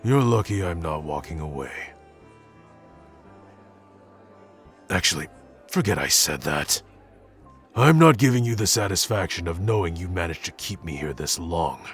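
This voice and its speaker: A man playing a gruff, awkward young tsundere prince who speaks haltingly and grudgingly in an intimate roleplay audio addressed to the listener. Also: low-pitched, big pitch swings. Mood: grudging, teasing. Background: background music.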